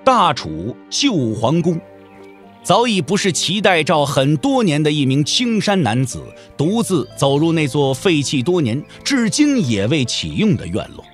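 Guy narrating wuxia drama, very animated, highish voice, storyteller style, soft music. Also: a little slow. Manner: expressive storytelling.

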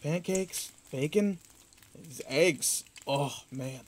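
A man acting in an audio roleplay as a protective, teasing, rough-edged but kind pretend boyfriend, talking intimately and casually. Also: on the high side, drawn-out words. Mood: playful, relaxed.

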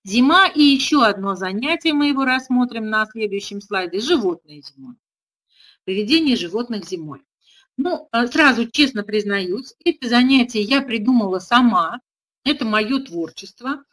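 A woman's voice: a little high, animated, moderate pace, a bit bright. A middle-aged woman presenting an online webinar for kindergarten teachers, explaining carefully with frequent hesitation fillers, over a webcam call. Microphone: webcam video call.

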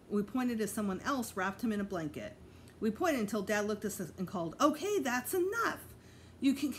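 A woman's voice: medium pitch, slight background noise. A middle-aged woman reads a children's storybook aloud with care and expression, like a teacher to pupils. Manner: careful, expressive reading with voiced dialogue.

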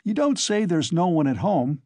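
A man voicing a cheerful, quick-witted young man in an audiobook, ringing and insistent. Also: on the high side, very animated, a little mellow, no echo, studio quality, voice pushed up.

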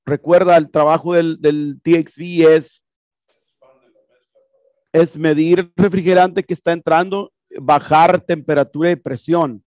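A man's voice: fairly high pitch, steady tone, unhurried, darkish tone, dry recording. Man teaching HVAC, chatty. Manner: casual, repetitive explaining with rhetorical questions. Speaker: HVAC instructor. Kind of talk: classroom lecture explaining a projected video. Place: classroom with projector screen.

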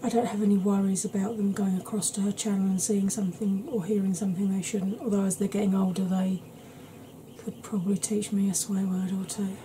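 A woman in her thirties chatting to camera at home in a soft ASMR style, rambling and often dropping into whispers. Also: mid-range voice, flat delivery, a little fast, a little hiss or hum, low-quality recording. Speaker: beauty vlogger. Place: small cluttered room at home.

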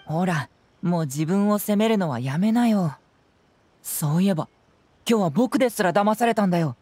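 A woman voicing a clever, composed young male detective in a game, with polished, measured, theatrical delivery. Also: lowish voice, expressive, warm tone, dry recording. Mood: calm, consoling. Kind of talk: Japanese game voice acting.

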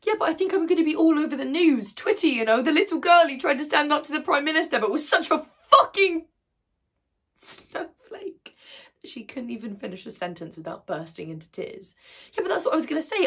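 A woman playing an outspoken, wounded 21-year-old idealist in a colloquial, emotional stage monologue, with a husky voice. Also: medium pitch, darkish tone, heavily acted. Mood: bitter, agitated.

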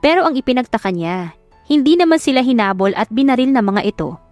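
High-voiced Filipino woman narrating a story. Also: expressive, quick pace, a little mellow, no echo, dull audio, faint music behind. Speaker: audiobook narrator. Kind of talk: dramatic scripted story narration.

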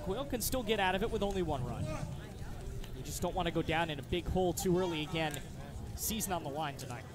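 A man's voice: on the high side, animated, fast talker. A man giving conversational analysis as a color commentator on a baseball radio broadcast.

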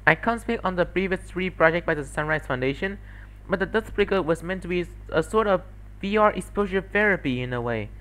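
Visual novel fandub: earnest woman scientist, played by a man, measured explainer carrying guilt, slightly raised pitch. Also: animated, fairly bright tone, low-quality recording. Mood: earnest, explanatory.

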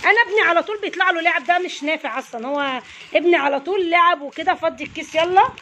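امرأة مصرية سريعة الكلام بصوت عالٍ. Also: female voice, extremely high pitch, racing, pushed and bright, lo-fi sound, slight background noise. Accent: Egyptian Arabic. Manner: chatty, coaxing the kids along. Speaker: channel host. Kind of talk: casual unboxing chat with children. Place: home, indoors, family around. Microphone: phone held by hand.

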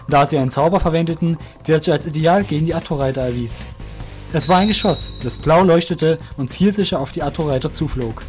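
A man narrates a scripted fantasy tale as a storyteller. His voice sits a little high for a man. Faint guitar music plays behind him. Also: a little mellow.

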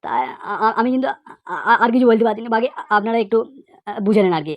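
A woman's voice: a little high, expressive, quick pace, no room sound, highs cut off, natural voice. A woman voicing an outspoken cartoon pupil, lecturing emphatically with repeated phrases and rhetorical questions. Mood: indignant, preachy. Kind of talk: cartoon comedy monologue.

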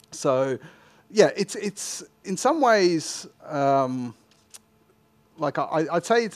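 A middle-aged man giving an informal tech talk and answering questions, self-deprecating and often rephrasing mid-sentence. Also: a little high. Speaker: conference speaker. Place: indoor lecture room, on stage at a lectern. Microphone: headset microphone.

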